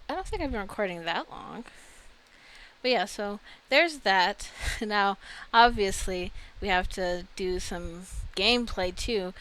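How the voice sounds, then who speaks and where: A woman's voice: medium pitch, a bit bright, poor audio. A woman giving casual video game commentary, chatting and thinking aloud as she reacts to what she finds. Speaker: video game streamer and host.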